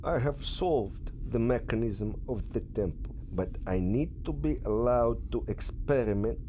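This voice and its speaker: A man playing a brooding mad scientist villain in an audio drama, measured and intense. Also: mid-range voice, animated, mellow, a little hiss or hum, close to an everyday voice. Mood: resolute.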